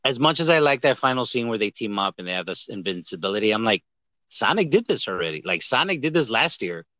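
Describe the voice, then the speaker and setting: A man hosting a casual movie podcast, talking in an animated, rambling, jokey way with some swearing. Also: lowish voice, fast, dry recording. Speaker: podcast host. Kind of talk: casual movie review chat.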